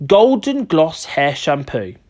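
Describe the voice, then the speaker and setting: An eager young boy from a children's storybook, voiced by an adult narrator. He is earnest and matter-of-fact, with pitch that moves about in a lively way. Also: slow pace. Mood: focused.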